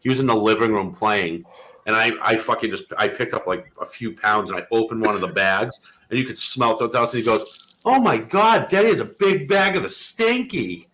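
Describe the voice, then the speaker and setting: A man in his thirties from Massachusetts, telling profane, animated stories in a casual chat and acting out the quotes. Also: mid-range voice, fairly quick, low-quality recording.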